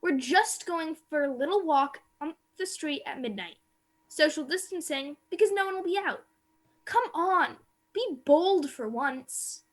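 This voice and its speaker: A woman's voice: high-pitched, a little slow. A female performer playing a bold, impatient, bossy teenage girl in an amateur radio play, urging and confident. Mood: eager bossiness.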